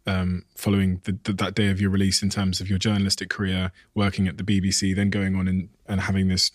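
A man's voice: low-pitched, completely flat delivery, quick pace, dry recording, studio quality. A man hosting an interview, asking questions thoughtfully and searching for words as he frames them. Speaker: interview host.

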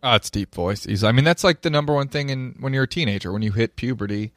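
A man's voice: mid-range voice, fast, fairly bright tone, no room sound, clean studio sound. A man co-hosting a casual podcast, making crude, playful jokes. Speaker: podcast co-host. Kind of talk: casual group chat.

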